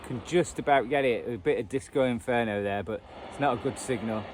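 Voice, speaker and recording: Over clear outdoor background noise, a man with a Northern English accent talks casually to his viewers. He thinks aloud, pausing and trailing off as he demonstrates his hobby in an unscripted vlog. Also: mid-range voice. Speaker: radio hobbyist vlogger. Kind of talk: casual unscripted chat, demonstrating a radio band scan. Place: outdoors at edge of a churchyard. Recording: noisy outdoor recording with traffic ambience.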